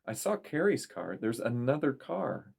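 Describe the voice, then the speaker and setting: A man's voice: mid-range voice, very animated, measured pace, creaky, darkish tone, husky, voice pushed up, exaggerated voice. A man voicing a nervous, bewildered young woman who gets easily annoyed, in an audiobook story. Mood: puzzled, annoyed.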